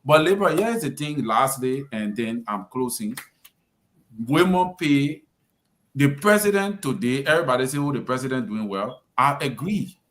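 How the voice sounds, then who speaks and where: A man's voice: mid-range voice, expressive, moderate pace, a bit bright. A man with a Liberian English accent on a radio panel, giving political commentary in an emphatic, lecturing manner. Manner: lecturing, emphatic, addresses the president directly. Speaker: radio talk show panelist.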